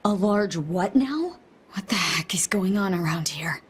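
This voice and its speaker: A woman's voice: on the low side, animated, unhurried, faint music behind. A woman playing a blunt, sarcastic android fighter in a video game, with dramatic delivery. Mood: irritated, sarcastic.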